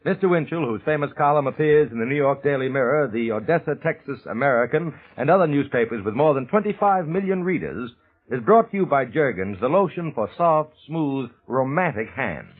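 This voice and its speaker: A man announcing and reading a 1940s radio commercial in a smooth, persuasive manner. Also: medium pitch, animated, fairly quick. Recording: husky, tinny old broadcast.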